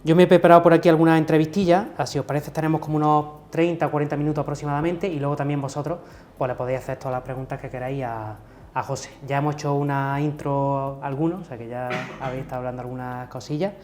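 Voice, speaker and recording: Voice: medium pitch, fairly even intonation, fast talker, a little mellow, slight background noise. An interview host, opening and leading the talk in a chatty, informal way. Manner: chatty, informal, many fillers. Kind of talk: casual interview hosting.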